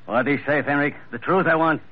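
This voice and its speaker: An actor playing a ruthless, scheming pearl poacher in 1940s radio acting style, talking low and menacing. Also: male voice, fairly quick, close to an everyday voice. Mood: commanding. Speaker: pearler Labrado. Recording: dull old radio broadcast, faint hiss.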